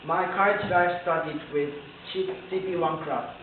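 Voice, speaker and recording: Echoey, slow-speaking young Japanese-accented man. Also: fairly high pitch, steady tone. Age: young adult. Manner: halting, careful non-native phrasing. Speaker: student speaker. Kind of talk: prepared commencement speech. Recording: tinny, echoey distant recording with faint noise.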